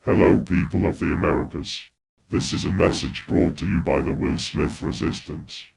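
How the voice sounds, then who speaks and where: Very deep gravelly man. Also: fairly even intonation, normal speed, a little mellow, slight background noise. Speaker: broadcast message speaker. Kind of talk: scripted grave announcement.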